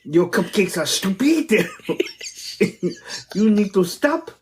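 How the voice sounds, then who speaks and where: A man's voice: high voice, very animated, drawn-out words, darkish tone, a little hiss or hum. A man co-hosting a food podcast, joking and bantering playfully in casual chat. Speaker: podcast co-host.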